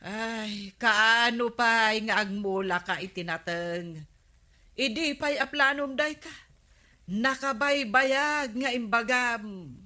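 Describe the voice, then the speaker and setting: A woman's voice: fairly high pitch, takes it slowly, pushed and bright. A woman playing an envious, gossiping neighbour who loves shopping, full of exclamations, in an Ilocano comedy radio drama. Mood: nagging.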